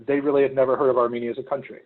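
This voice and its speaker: A young man from Ohio giving a relaxed, conversational presentation about his volunteer work, with frequent ums. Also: medium pitch, racing. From Ohio, United States. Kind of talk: information session talk. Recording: phone-like narrow sound.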